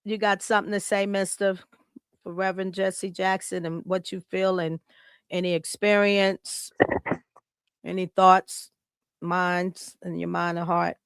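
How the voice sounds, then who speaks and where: American woman host, smooth dry sound. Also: on the low side, little pitch movement, measured pace, no room sound. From Chicago, United States. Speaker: podcast host. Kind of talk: casual tribute talk, partly read aloud.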